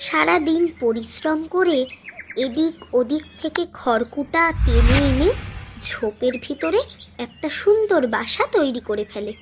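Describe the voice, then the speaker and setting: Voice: high voice, animated, a little slow, background noise. A storyteller giving scripted narration for a children's cartoon tale.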